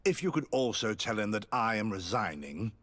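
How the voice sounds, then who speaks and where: A man's voice: lowish voice, sing-song, moderate pace, fairly bright tone. A man playing a bitter, overworked alchemist's employee in a video game. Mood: disgruntled.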